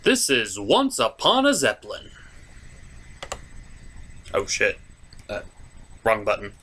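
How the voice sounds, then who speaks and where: A man's voice: fairly low pitch, huge pitch swings, slow, sharp tone, a little hiss or hum. A man hosting a comedic cartoon review, narrating casually in a sarcastic, irreverent way. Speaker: host of a cartoon review show.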